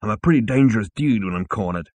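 A man voicing a brash, vain two-headed male alien adventurer who talks loud and slangy. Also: lowish voice, animated, quick pace, slightly rough.

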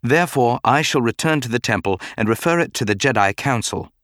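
A man voicing a composed, guarded Jedi master in a dramatic audiobook, speaking in a measured, formal manner. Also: mid-range voice, lively intonation, fairly bright tone, no room sound, clean studio sound, close to an everyday voice. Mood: firm. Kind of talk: dramatic audiobook character acting.

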